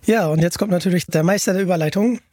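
A man's voice: sits high, fast talker, darkish tone, dry recording, studio quality. A man hosting a casual fan podcast, chatting in an enthusiastic way. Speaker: podcast host.

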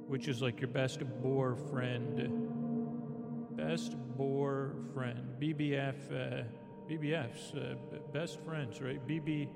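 A man hosting a sleep podcast, rambling in a loose, meandering way that drifts softer and quieter. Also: mid-range voice, steady tone, takes it slowly, creaky, over loud music. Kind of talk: rambling casual monologue.

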